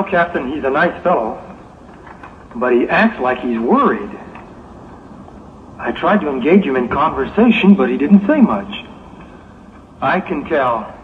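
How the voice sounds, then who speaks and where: Loyal first mate, male actor, high-pitched, dutiful, 1960s audio drama style with faint hiss. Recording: muffled, slightly noisy 1960s audio drama recording.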